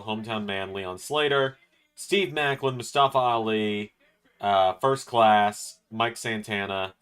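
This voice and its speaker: A man's voice: lowish voice, fairly bright tone, clean tone. A man hosting a live reaction stream, chatting casually and thinking aloud with fillers. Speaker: stream host.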